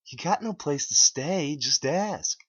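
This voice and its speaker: A man's voice: a little high, lively intonation, slow, breathy edge, no echo, muffled recording, pitched above the performer's own voice, full character voice. A man voicing a cocky, blunt internet troll who talks in a casual, challenging way in a dramatic story reading.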